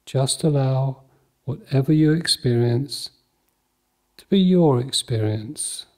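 A man leading a guided mindfulness meditation in a calm, measured, soothing manner, leaving long pauses between short phrases. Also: medium pitch.

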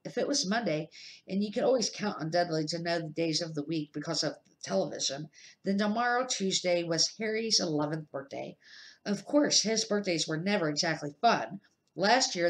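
A middle-aged woman reading a children's novel aloud as an amateur, slightly halting and correcting her words as she goes. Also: lowish voice. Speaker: amateur storybook reader.

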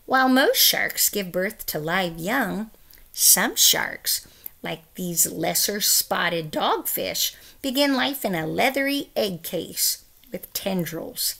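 A middle-aged woman reads a picture book aloud like a teacher. Her voice is bright, with a touch of creak, and she takes her time over each carefully stressed phrase. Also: medium pitch, slow. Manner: careful, expressive, emphatic phrasing for young listeners. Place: small room at home, indoors.

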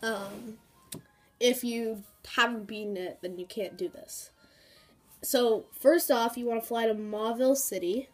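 A woman's voice: fairly high pitch, measured pace, soft background music. A woman with a cold, sniffling a little, casually talking viewers through a handheld game and rambling with fillers and asides. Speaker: gaming YouTuber. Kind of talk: casual game walkthrough commentary.

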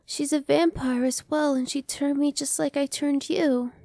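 A woman playing a gentle, reassuring young vampire. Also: high-pitched, steady tone, creaky, dry recording. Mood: soothing. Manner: soft, slow and reassuring, dropping to whispers. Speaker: voice actress. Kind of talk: ASMR roleplay.

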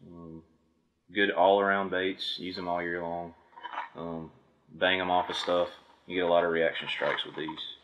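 Deep-voiced man, casual unboxing talk. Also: little pitch movement, unhurried, background noise. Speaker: fishing video host. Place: indoors at a table before a backdrop.

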